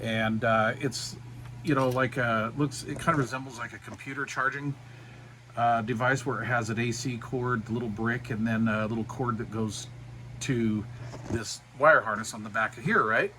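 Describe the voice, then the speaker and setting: A middle-aged man with a medium-pitched voice presents a hands-on project. He explains things casually and without a script, rambling along in a folksy way. A faint, steady room hum sits behind his voice. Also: normal speed. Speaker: DIY radio hobbyist presenter. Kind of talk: casual unscripted project walkthrough.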